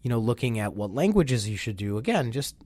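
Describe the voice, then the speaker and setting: A man co-hosting a business webinar, slightly nasal and congested from a sinus infection, talking in an animated, enthusiastic way with lots of "you know"s. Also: mid-range voice, brisk, no room sound. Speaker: webinar co-host. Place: Chicago.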